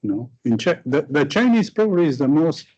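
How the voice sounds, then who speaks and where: A man's voice: on the high side, sing-song, fairly quick, dark tone, husky, highs cut off. A man from Romania with a Romanian accent, answering a student's question after a lecture and searching for words in English, his second language. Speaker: visiting lecturer.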